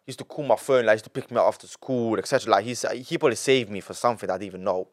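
A nineteen-year-old man, a podcast guest telling his story in an animated way, full of repetition and street slang. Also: on the low side, racing. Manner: animated, repeats himself, heavy street slang. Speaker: young entrepreneur guest.

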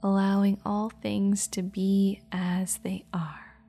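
A woman guiding a meditation in a soft, slow, half-whispered voice. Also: mid-range voice, little pitch movement, soft background music. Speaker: meditation guide. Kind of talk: guided meditation.

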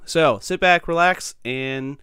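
A man hosting a casual podcast interview, chatty and friendly with a slightly raspy, tired voice. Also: fairly high pitch, slow pace, bright, forceful tone, dry recording, studio quality. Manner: friendly, chatty, laughs often. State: slightly raspy, losing his voice. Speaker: podcast host.

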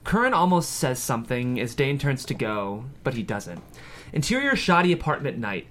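A man's voice: mid-range voice, big pitch swings, normal speed, fairly bright tone, a little hiss or hum. A man reading scripted screenplay stage directions as the narrator of a table read.

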